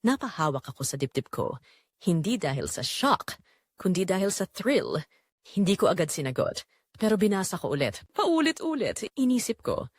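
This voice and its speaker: A woman's voice: mid-range voice, sing-song, normal speed, no echo. A woman playing a restless, defiant Filipino housewife, telling her secret in an emotive, confessional first-person drama narration. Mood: uneasy, intrigued.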